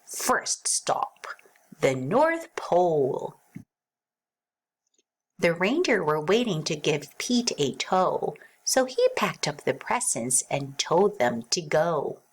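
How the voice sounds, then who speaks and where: A woman reading a rhyming children's storybook aloud as narrator, in an expressive sing-song way. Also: low voice, a little slow, few pauses, slightly rough.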